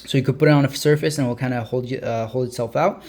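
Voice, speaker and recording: A man giving a casual hands-on product review as a video host, explaining informally with frequent hesitant uh pauses. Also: medium pitch, steady tone, slight background noise.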